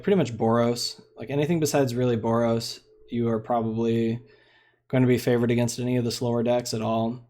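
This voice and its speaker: A man's voice: mid-range voice, dark tone. Laid-back man commenting on his card game. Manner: laid-back, rambling, lots of fillers. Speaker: streamer playing a card game. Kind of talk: casual gameplay commentary.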